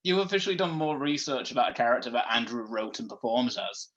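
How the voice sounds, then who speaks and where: A man bantering casually and cheekily, quick to joke. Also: medium pitch, animated, highs cut off, over a noisy crowd. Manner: laughs often, cheeky.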